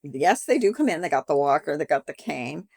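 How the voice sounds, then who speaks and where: A woman's voice: low voice, very animated, brisk, gravelly, a little mellow, no echo, clean studio sound. A woman in her seventies, a boutique owner, chatting animatedly in an interview and acting out conversations with customers. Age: 70s.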